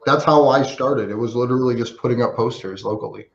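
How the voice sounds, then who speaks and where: A man's voice: medium pitch, quick pace, vocal fry. A man speaking earnestly and persuasively as a guest in an activist livestream. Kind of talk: casual livestream chat. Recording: roomy, dull video call audio.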